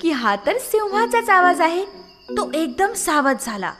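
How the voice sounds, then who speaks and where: A woman's voice: very high-pitched, very animated, unhurried, over music. A woman narrating a scripted children's story as the storyteller.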